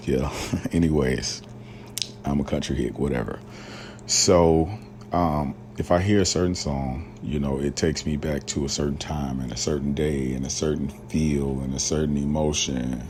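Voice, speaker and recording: A man with an extremely deep, low voice hosts a solo podcast and talks in a loose, rambling way, full of fillers and slang. He grew up in rural Alabama. A faint hiss of noise sits under the recording. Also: fairly even intonation, measured pace, a little mellow. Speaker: podcast host. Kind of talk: casual solo podcast talk.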